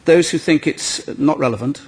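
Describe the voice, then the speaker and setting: A man hosting a radio panel debate, briskly calling on speakers and cutting in to keep order. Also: fairly high pitch. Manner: brisk, calls names and cuts in to manage debate. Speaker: host.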